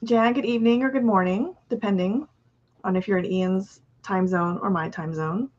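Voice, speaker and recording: A tired woman co-hosting a live podcast, relaxed and wry in casual chat. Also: medium pitch, moderate pace. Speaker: podcast co-host. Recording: dull lo-fi audio.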